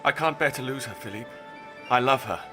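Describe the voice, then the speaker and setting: An actor playing an earnest, lovelorn young aristocrat, anxious and romantic, in a radio drama. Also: male voice, mid-range voice, fairly quick, lightly acted. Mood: anxious, lovesick. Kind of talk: full-cast radio drama acting. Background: music.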